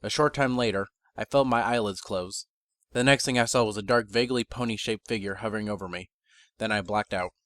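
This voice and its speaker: A man reading a first-person fantasy story as narrator, in a measured, bookish dramatic reading. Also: lowish voice, a bit bright, no room sound, studio quality.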